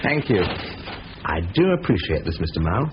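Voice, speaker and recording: Sly, animated male thief, old radio. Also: low voice, normal speed. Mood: polite, plausible. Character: cool, sly, confident bank thief with playful patter. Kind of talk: 1970s radio drama acting. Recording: muffled, hissy old radio broadcast recording.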